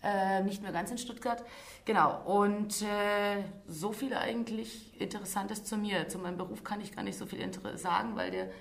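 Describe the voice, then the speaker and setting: A woman's voice: medium pitch, steady tone, moderate pace, vocal fry. A woman around thirty from Transylvania co-hosting a casual podcast, giggly and playful. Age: 30s. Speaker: podcast co-host.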